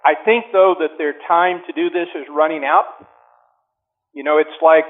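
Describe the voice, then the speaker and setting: A man speaking as an interview guest over the telephone, explaining his views in a deliberate, emphatic way with pauses. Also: fairly high pitch. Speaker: advocacy group president, interview guest. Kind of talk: phone interview, explanatory opinion talk. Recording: narrow-band tinny phone line.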